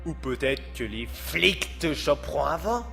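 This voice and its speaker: A sinister phantom tormentor in an audio drama. The voice is gravelly and swoops widely in pitch, stretching words out with mocking theatrical menace. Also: male voice, very animated, fairly bright tone, faint music behind, exaggerated voice. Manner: mocking, drawn-out, theatrical delivery. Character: taunting, menacing male voice haunting a killer's mind. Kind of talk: amateur audio drama acting.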